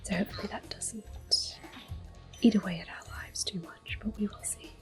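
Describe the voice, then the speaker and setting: Soft whispering woman. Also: mid-range voice, fairly even intonation, moderate pace. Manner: soft whispering, gently nostalgic. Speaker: ASMR gameplay host. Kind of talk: whispered ASMR game commentary. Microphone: close sensitive mic. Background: faint game music.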